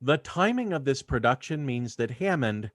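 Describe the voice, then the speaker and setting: A man's voice: medium pitch, very animated, normal speed, no echo. Man narrating in a dry-sounding space. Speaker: video host. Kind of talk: scripted narration.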